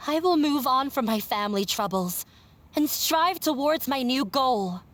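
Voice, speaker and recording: A determined young woman in a video game, speaking slowly with a high voice. Each word is measured and firm. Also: animated, a bit bright. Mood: resolute. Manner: measured and resolute. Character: young woman standing up to her family, resolute.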